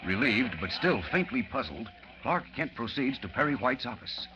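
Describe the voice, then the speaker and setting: A man's voice: medium pitch, big pitch swings, moderate pace. Dramatic, swooping male radio announcer. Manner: urgent, theatrical. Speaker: announcer-narrator. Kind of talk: dramatic 1940s radio serial narration. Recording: muffled old radio recording, faint noise.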